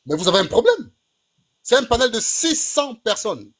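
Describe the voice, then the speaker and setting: A man's voice: very high-pitched, big pitch swings, moderate pace, fairly bright tone. A man in a heated live online debate, indignant and insisting on his point again and again. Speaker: panel participant.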